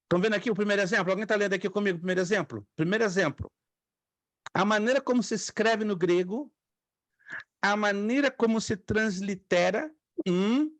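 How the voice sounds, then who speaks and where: A middle-aged man, a native speaker of Spanish and Hebrew, teaching a class and patiently repeating words for students to echo. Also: high-pitched. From Brazil. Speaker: theology teacher. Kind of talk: online class lecture.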